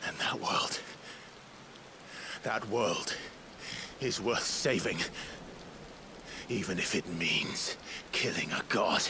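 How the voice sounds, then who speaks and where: A tragic, resolute young fantasy game warrior. The voice is fairly low and slow overall, intense and defiant, with clear background noise around it. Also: male voice, lively intonation. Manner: terse, intense. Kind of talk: dramatic fantasy game acting. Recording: clearly noisy, rain-like background.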